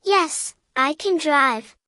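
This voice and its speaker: A woman with a distinctly high voice reads a beginner language drill. She speaks slowly and carefully in short, evenly measured phrases, repeating each one. The sound is dry, with no room echo. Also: lively intonation, pushed and bright. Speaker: English teacher narrator.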